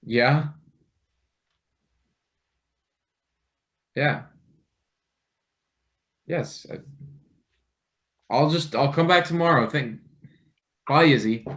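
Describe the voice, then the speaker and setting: A man playing an anxious, evasive young intern in a satirical play reading, speaking haltingly with stammers. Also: a little high, a bit bright. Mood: awkward. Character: anxious young male unpaid intern, evasive, easily pressured. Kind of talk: table read of a satirical stage play.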